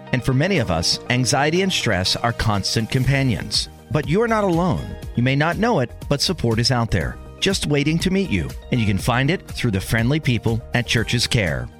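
A man reading a scripted radio advertisement as an announcer. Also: mid-range voice. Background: faint music.

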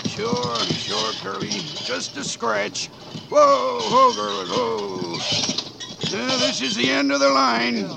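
An American actor playing an excitable, folksy, grumbling stagecoach driver in a 1940s radio western, with a cracking voice and rustic hollering. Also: male voice, very high-pitched, takes it slowly, loud music behind the voice, full character voice. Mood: folksy, relieved. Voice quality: creaky, cracking voice. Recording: very noisy old 1940s radio broadcast.

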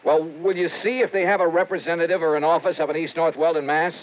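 An actor playing a brisk, wry insurance investigator in a punchy 1950s radio drama style. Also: male voice, fairly high pitch, lightly acted. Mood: hurried, insistent. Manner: punchy, animated. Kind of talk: 1950s radio drama acting with first-person narration. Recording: thin, phone-like 1950s radio recording.

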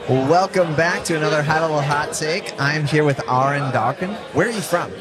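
A man's voice: a little high, normal speed, loud music behind the voice. A man hosting a casual interview, answering with short friendly affirmations. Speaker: podcast host. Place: busy conference floor. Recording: noisy crowded event hall. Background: loud crowd chatter.

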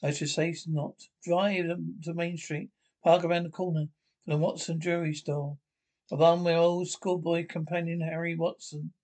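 A man's voice: fairly high pitch, flat delivery, normal speed, darkish tone, lightly acted. A man playing an eager, scornful albino inventor in a radio play, insistent and domineering. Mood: commanding. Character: eager, scornful albino inventor plotting with his invisibility serum. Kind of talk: radio play script read aloud.

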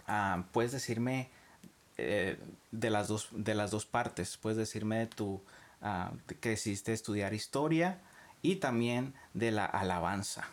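A man's voice: fairly low pitch, takes it slowly. A man hosting an interview, asking his questions and rephrasing them several times. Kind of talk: casual interview conversation.

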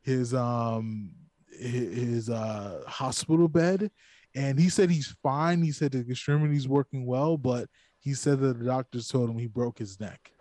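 A man's voice: medium pitch, unhurried, mellow, no room sound. A man co-hosting a podcast, speaking bluntly and emphatically with plenty of swearing in a casual chat. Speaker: podcast co-host.

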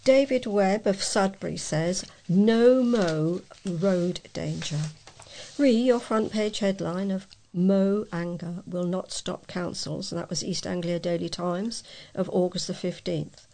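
A woman reading letters aloud as a volunteer news reader, in a measured way with the odd stumble. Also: lowish voice, mellow, low-quality recording.

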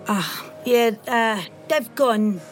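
A woman's voice: on the high side, drawn-out words, soft background music. An actress playing a brusque, unhelpful working-class woman at a desk in an audio drama, curt and sardonic.

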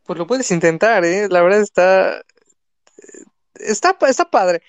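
A man's voice: sits high, expressive, a little slow, no room sound, lo-fi sound. A young man from Mexico with a Mexican accent, a content creator chatting casually on a live stream, full of fillers and restarts. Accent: Mexican Spanish. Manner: rambling, many fillers and restarts.

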